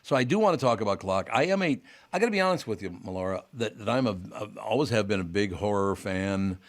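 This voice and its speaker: A man's voice: fairly low pitch, lively intonation, quick pace. A man hosting a radio talk show, chatting jokily and effusively with a guest, often rambling. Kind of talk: casual radio interview.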